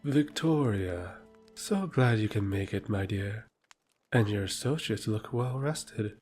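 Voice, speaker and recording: An actor playing a courteous, scheming nobleman who speaks in an unhurried, courtly manner. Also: on the low side, lively intonation, a little mellow, soft background music.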